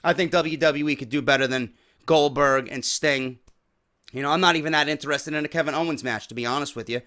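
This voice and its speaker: A man hosting a wrestling news show, giving blunt, profane opinions and getting loud when worked up. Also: fairly high pitch, brisk. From New York.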